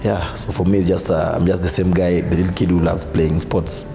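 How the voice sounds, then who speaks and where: A man's voice: deep voice, little pitch movement, a little fast, gravelly, over music. A man, speaking casually about himself in an interview. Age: 30s. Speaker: interviewee, rugby player. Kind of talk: casual personal profile interview.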